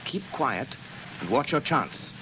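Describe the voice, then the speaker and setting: A calm, commanding gentleman detective in a 1930s radio drama. He gives orders in a measured, authoritative way at an everyday pitch, and a loud hiss runs under the old recording.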